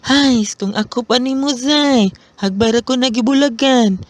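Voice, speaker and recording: A man's voice: very high-pitched, a little slow, dry recording. A man with a Cebuano accent playing a playful, teasing best friend in a student radio drama. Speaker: friend Bentong.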